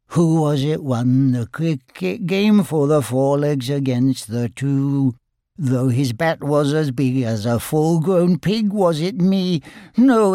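A man voicing Pooh, a gentle, humble storybook bear, with a measured, lilting delivery. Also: a little high, no echo, studio quality.

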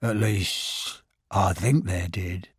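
A man voicing a gloomy old male donkey, speaking slowly and mournfully in a low voice. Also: fairly even intonation, slightly rough, no echo, studio quality, heavily acted. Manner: slow, low, sighing delivery.

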